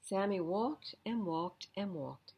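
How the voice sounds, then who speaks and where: A woman's voice: mid-range voice, expressive, takes it slowly, breathy edge. A woman reading a picture book aloud for children at a storytime, adding conversational asides about the pictures. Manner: explains pictures and adds gentle asides to young listeners. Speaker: children's storytime reader at a library.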